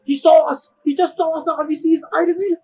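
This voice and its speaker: A man giving casual game reaction commentary, often repeating his phrases in an animated way. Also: extremely high pitch, quick pace, slight background noise. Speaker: game streamer.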